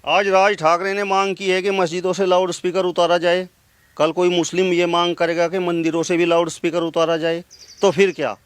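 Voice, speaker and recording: A man interviewing a holy man, asking measured, formal questions. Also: sits high, little pitch movement, smooth, no echo.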